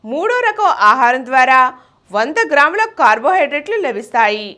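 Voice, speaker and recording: A woman's voice: high voice, big pitch swings, normal speed, pushed and bright. A woman news reader delivering a scripted bulletin in a formal, emphatic manner.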